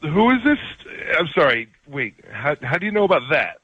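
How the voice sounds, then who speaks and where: Young male American caller, forceful bright tone, very varied intonation, halting casual delivery. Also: on the high side, big pitch swings, husky, no echo, dull audio. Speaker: phone-in guest. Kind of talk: casual phone conversation.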